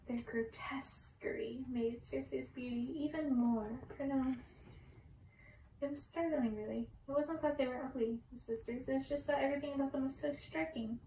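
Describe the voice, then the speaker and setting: A woman's voice: high voice, warm tone. A woman narrating a story, reading it aloud. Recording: roomy, distant mic, faint noise.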